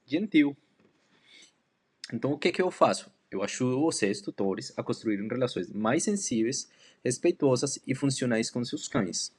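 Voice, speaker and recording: A man from Colombia with a Spanish-tinged accent introduces himself and his work as a dog educator, speaking in a measured way with hesitant pauses and drawn-out words. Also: mid-range voice. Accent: Colombian Spanish accent in Portuguese. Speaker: dog trainer and canine educator. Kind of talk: self-introduction talk to a community.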